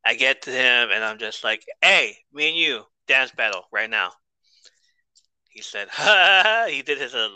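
Male podcast host, bright and crisp, laid-back and rambling, soothing. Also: mid-range voice, no room sound, rough recording. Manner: easygoing, rambling, lots of fillers and laughs. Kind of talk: casual podcast shout-outs and outro.

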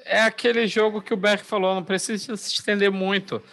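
A man's voice: high-pitched, level tone, a little fast, a bit bright. A man co-hosting a casual football podcast, easygoing and analytical about matchups. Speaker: podcast co-host, NFL picks. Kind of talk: casual sports chat.

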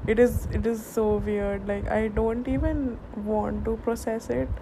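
Young woman, hushed late-night ramble, slightly high, unhurried, noisy hiss behind. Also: steady tone. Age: young adult. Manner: hushed, rambling, many fillers and restarts. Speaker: vlogger. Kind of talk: late-night casual chat. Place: home at night.

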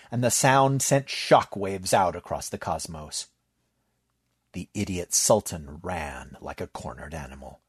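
A man reading fiction aloud as narrator, with expressive storytelling. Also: fairly low pitch, husky, no room sound, clean studio sound.

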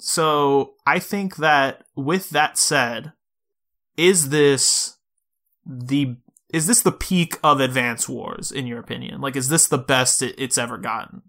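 A man with a bright, forceful voice co-hosts a video game podcast. He speaks informally, in a casual conversational style, at a middling pitch. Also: slow pace. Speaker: podcast co-host.

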